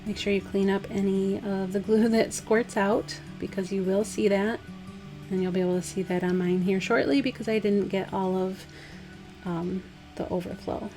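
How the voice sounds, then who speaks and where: A woman with a slightly hoarse, dry voice, casually talking through a DIY craft project step by step. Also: medium pitch, normal speed, faint music behind. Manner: relaxed, chatty, step-by-step instructions with fillers. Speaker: craft vlogger. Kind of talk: casual DIY tutorial voice-over.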